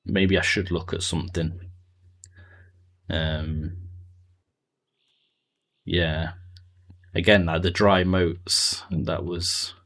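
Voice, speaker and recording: Deep-voiced man chatting casually. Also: little pitch movement, drawn-out words, long pauses, fairly bright tone. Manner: thinks aloud, trailing off and answering chat. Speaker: streamer. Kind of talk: casual live-stream chat while playing.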